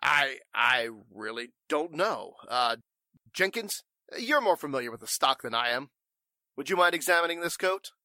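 A man's voice: fairly high pitch, very animated, normal speed, pushed and bright, no echo, exaggerated voice. A man playing a flustered, evasive warehouse owner in 1940s radio drama style, stammering and speaking with stuffy formality. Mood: flustered, amazed.

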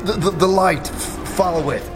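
An actor playing a nervous, irreverent adventuring companion in a fantasy audio drama, stammering and halting. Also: male voice, high-pitched, natural voice. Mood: nervous, startled. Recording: music behind the voice.

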